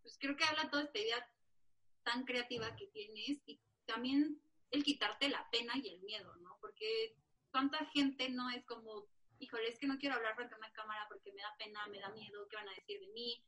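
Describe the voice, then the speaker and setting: A woman's voice: on the high side, moderate pace, bright, forceful tone. A young woman, an encouraging, enthusiastic interviewer in a casual chat. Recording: distant mic with faint noise.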